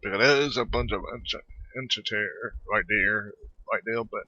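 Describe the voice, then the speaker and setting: Male gamer, lowish and very sharp-edged voice, halting casual commentary that stumbles and rambles, dull lo-fi mic. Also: no echo. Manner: halting, rambling, trips over words. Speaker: gamer recording a playthrough. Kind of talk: casual gameplay commentary, muttering and reading text aloud.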